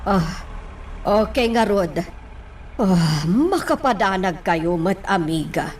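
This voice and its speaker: A woman with an Ilocano accent playing an anxious, well-off middle-aged mother in a radio drama, with fretful, drawn-out delivery. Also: mid-range voice, animated, slight background noise. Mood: sociable. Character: anxious well-off mother, fretting over her invested money. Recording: distant mic, loud music behind.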